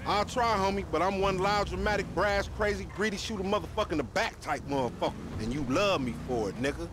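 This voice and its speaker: A man's voice: high voice, fast, lightly acted. An actor playing a hot-headed young male gangster in a video game, boastful and profane, talking in slangy street speech. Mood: cocky. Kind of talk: video game cutscene acting. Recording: game sound effects and noise behind.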